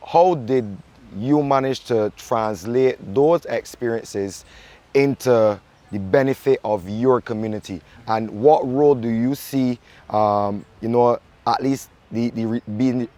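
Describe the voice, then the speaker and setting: A man asks questions in an easy, relaxed way. His voice sits at an ordinary middle pitch, and he often answers with a short word of agreement. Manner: relaxed, with frequent short affirmations. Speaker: interviewer. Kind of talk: interview questions.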